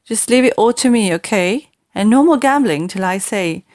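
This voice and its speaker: A woman's voice: mid-range voice, expressive, moderate pace, darkish tone, dry recording, studio quality, natural voice. Bossy young storybook princess, acted by a woman, commanding, scheming, confident.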